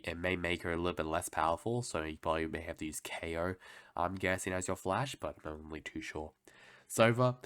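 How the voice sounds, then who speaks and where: A young man with a very low, slightly sick voice reads through game patch notes casually. He hesitates, restarts and hedges often. The recording is dry and close, with no room sound. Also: a bit bright, dry recording. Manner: hesitant, many restarts and guesses. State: sick, voice lower than usual. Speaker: gaming video host.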